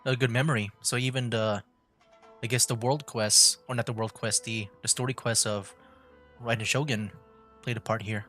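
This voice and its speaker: Casting: male streamer, mid-pitched, relaxed off-the-cuff gameplay reactions, faint music underneath. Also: normal speed. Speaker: game streamer. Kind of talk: casual livestream gameplay commentary. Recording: game music behind the voice.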